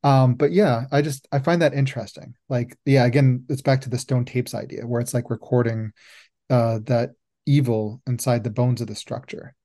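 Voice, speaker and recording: A man's voice: mid-range voice, fairly even intonation, moderate pace, dark tone. A man hosting a podcast, musing aloud in a rambling way with many fillers during a casual roundtable chat. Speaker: podcast host.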